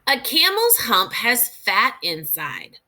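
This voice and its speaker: A woman teacher reading a children's nonfiction book aloud in a deliberate, expressive way, pausing to think aloud for young learners. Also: on the high side.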